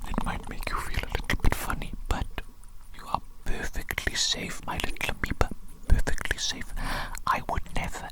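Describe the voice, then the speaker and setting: A performer whispering an ASMR roleplay as a doting, eerily excited evil doctor, speaking softly and deliberately and repeating phrases soothingly. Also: low-quality recording. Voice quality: breathy unvoiced whisper.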